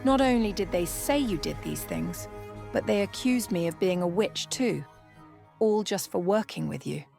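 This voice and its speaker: A woman's voice: mid-range voice, animated, normal speed, creaky, a little mellow. A woman voicing an earnest, loyal young working-class maid in a storybook, colloquial and deferential. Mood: indignant, worried. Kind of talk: period storybook dialogue. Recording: music behind the voice.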